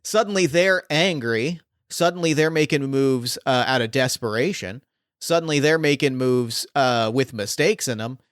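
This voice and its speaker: A man playing a smooth-talking arms dealer. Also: medium pitch, moderate pace, a bit bright, dry recording, studio quality, natural voice. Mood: scheming. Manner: smooth salesman patter, placating. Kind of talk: improvised tabletop roleplay.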